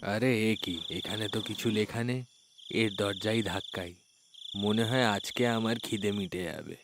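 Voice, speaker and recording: A man's voice: lowish voice, unhurried, noisy background. A man voicing a hungry, foolish, menacing demon in a cartoon folk tale. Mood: sly, hungry. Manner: threatening, demanding. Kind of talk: children's moral-story cartoon acting.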